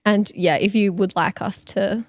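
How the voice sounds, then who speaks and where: A woman's voice: mid-range voice, steady tone, unhurried, dry recording, studio quality. Woman with a slightly lost voice. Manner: laughs often, chatty. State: partly lost voice. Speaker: podcast co-host. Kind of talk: casual chat, reading listener stories aloud.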